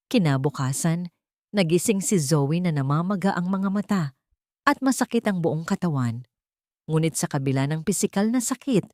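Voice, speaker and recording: A woman with a fairly low voice narrates a scripted romantic story. Her delivery is measured and earnest, and the recording is dry and close, with little room sound. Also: dark tone, no room sound.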